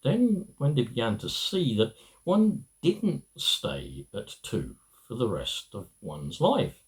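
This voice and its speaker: Slow, very animated male storybook reader. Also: mid-range voice. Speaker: amateur reader. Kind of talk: reading a children's storybook aloud.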